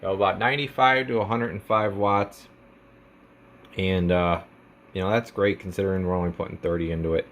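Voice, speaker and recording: A man's voice: sits low, fairly even intonation, a little fast, a bit bright, a little hiss or hum. A man casually demonstrating a product as a technician, explaining step by step in informal language with trade jargon. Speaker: radio technician presenting a radio for sale.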